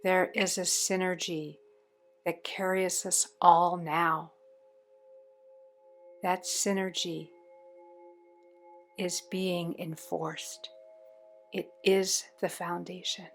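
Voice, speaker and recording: A woman leading a guided meditation in measured, short phrases with pauses between them. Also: fairly low pitch, level tone, faint music behind.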